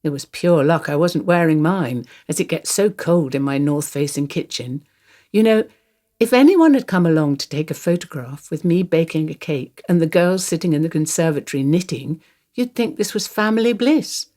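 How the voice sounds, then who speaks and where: A woman's voice: sits low, animated, normal speed, warm tone. An older woman acting a radio play monologue as a wistful, wry grandmother confiding her thoughts. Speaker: actor reading a monologue. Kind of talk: radio play monologue, first-person storytelling.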